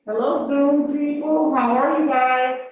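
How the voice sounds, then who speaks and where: A woman's voice: high voice, steady tone, unhurried. A woman in her fifties from Illinois, an academic advisor chatting casually and greeting students before a workshop. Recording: echoey room, distant dull mic.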